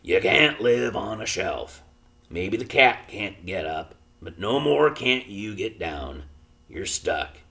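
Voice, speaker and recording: A man reading aloud and voicing a small, stubborn working-class father who speaks in a flat, expressionless way with rustic grammar. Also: lowish voice, slow pace, vocal fry, heavily acted. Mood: gruff, firm.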